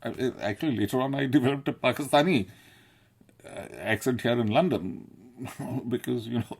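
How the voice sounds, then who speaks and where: Gravelly man rambling with frequent laughter. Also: medium pitch, normal speed, a little mellow. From South India. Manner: digressive, laughing often, swearing. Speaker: video essayist. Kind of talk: casual rambling monologue. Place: London.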